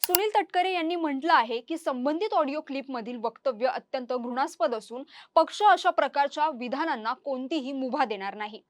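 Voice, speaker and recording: A woman's voice: high-pitched, moderate pace, no echo, clean studio sound. A woman presenting scripted news narration.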